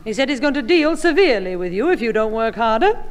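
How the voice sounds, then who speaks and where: Strict mum, high voice, brisk and scolding, old radio comedy in an echoey hall. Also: female voice, sing-song, a little hiss or hum. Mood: firm. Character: brisk, no-nonsense mother of a schoolboy. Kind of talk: 1960s radio comedy acting.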